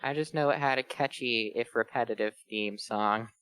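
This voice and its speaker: A woman's voice: extremely low pitch, normal speed, a bit bright, clear, resonant tone, dry recording, low-quality recording. A woman bantering casually as a reader on a group read-along show. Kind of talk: casual group chat with riffing.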